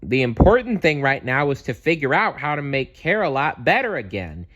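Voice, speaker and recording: A man's voice: medium pitch, sing-song, normal speed, a bit bright, dull audio, voice pushed up. A man voicing an upbeat, encouraging champion bear from a children's storybook, earnest and emphatic.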